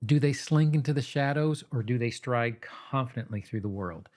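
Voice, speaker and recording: Male explainer host, medium pitch, instructive scripted delivery with conversational asides, talks straight to the audience. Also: normal speed, darkish tone. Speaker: host of a tabletop roleplaying advice channel.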